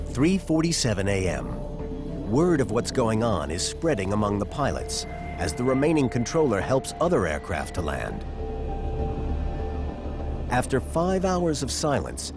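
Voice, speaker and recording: Man narrating with lively, dramatic pitch swings. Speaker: documentary narrator. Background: background music.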